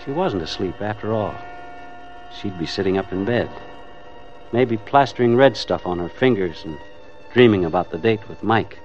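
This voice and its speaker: A man's voice: on the low side, lively intonation, normal speed, a little mellow, lightly acted. An American actor playing a weary, hard-boiled family man narrating his hostage ordeal in an old-time radio drama. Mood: grim, brooding. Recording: dull old radio recording with music.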